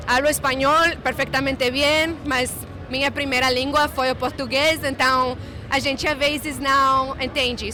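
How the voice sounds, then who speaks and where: A woman's voice: high-pitched, sharp tone. A young woman chatting in a street interview, full of fillers. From Mexico. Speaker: student performer. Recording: outdoors with music and crowd.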